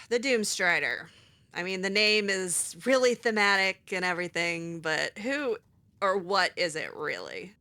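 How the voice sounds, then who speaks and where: A woman's voice: mid-range voice, big pitch swings, a little slow, sharp tone. A woman voicing her own character while playing a video game, sarcastic and hesitant, with many fillers. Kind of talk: in-character roleplay voiced over gameplay.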